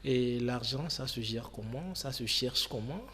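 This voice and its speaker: A man asking probing questions as an interviewer, quick to interject. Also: medium pitch, fairly bright tone. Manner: interjects and echoes the guest's words. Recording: reverberant room with background noise.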